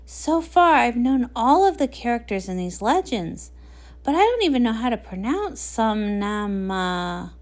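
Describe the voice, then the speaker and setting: A woman's voice: a little high, sing-song, unhurried, darkish tone, pitched below the performer's own voice. A woman voicing an earnest, bookish male pony scholar who sounds hesitant and puzzled in a comic read-along.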